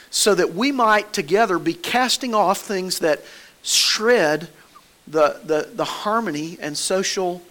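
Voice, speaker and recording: A man's voice: on the high side, fairly bright tone. A man with a Southern American accent preaching a sermon in a church, folksy and conversational, with homespun stories. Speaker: pastor.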